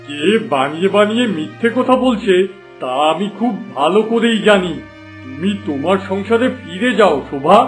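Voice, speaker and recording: চড়া গলার কড়া গ্রাম্য মোড়ল. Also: male voice, high-pitched, animated, moderate pace, soft background music. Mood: stern. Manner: authoritative, scolding. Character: stern, commanding male village headman.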